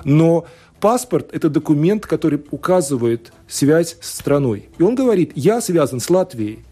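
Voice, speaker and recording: A man's voice: on the high side, big pitch swings, moderate pace, warm tone, breathy edge. A man from Latvia, a law scholar and politician, answering radio interview questions thoughtfully, with many fillers and restarts. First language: Russian.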